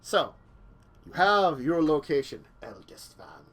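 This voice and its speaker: Man running a slow, lively-voiced game master. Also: fairly high pitch. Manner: casual, rambling, many fillers. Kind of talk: narrating a tabletop vampire game.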